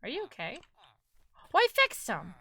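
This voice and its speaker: A woman's voice: on the high side, wildly swooping pitch, normal speed, gravelly, bright, forceful tone, slightly rough. A young woman with a New York accent, chatting casually with her live-stream audience while gaming, talkative and laughing often.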